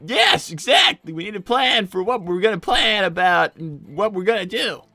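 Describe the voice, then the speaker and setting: Bright, nervous, stammering cartoon fox boy, acted out. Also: mid-range voice, very animated, normal speed, dry recording, soft background music, heavily acted. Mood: earnest, plotting. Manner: stammering, rambling, hedging. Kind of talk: improvised in-character cartoon roleplay livestream.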